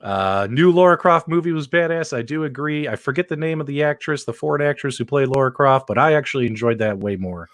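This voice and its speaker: An animated man with a mid-range voice hosts a casual live stream in a close, dry sound. He talks informally and directly to his viewers, with pitch that moves around freely. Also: fast talker, hardly any pauses, dry recording. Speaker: host of a live panel show. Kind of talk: casual live-stream hosting and chat reading.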